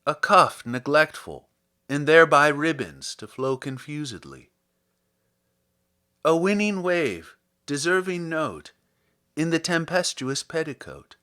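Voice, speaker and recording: A man reading classic poetry aloud in a theatrical, deliberate and expressive manner, as a podcast host. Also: a little high. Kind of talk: dramatic poetry recitation.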